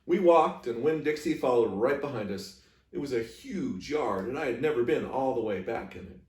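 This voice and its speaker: A man's voice: mid-range voice, expressive, moderate pace, a little mellow. A man reading aloud as a library storyteller, in a storybook narration style. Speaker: library reader. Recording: echoey room, distant microphone.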